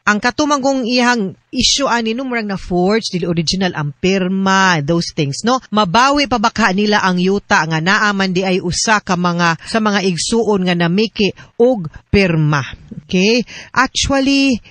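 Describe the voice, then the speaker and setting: Bright-voiced Filipina radio advice host, close and dry. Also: female voice, mid-range voice, expressive, normal speed, no echo. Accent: Cebuano Filipino. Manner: informal, code-switching, often adds tag questions. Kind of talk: conversational legal advice to listeners.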